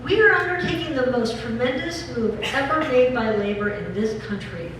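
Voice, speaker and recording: Labor orator read by a woman: solemn, deliberate, highish voice, recorded from afar with noticeable noise. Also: animated, far from the mic, lightly acted. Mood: solemn, resolute. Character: resolute labor editorialist proclaiming a general strike. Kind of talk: dramatic reading of a historical labor editorial. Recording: echoey room from afar, clear noise.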